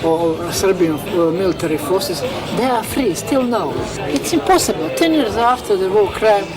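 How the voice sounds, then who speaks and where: A man with a Bosnian accent giving an earnest interview in non-native English, halting and repeating himself as he searches for words. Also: sits high, lively intonation, rough recording. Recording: loud music and crowd behind.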